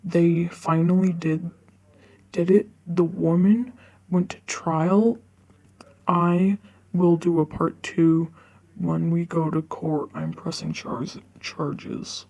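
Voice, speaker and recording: A woman reading online forum stories aloud in a halting way, often stumbling over the words. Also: on the low side, steady tone, warm tone, low-quality recording. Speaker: narrator reading online stories for a video channel.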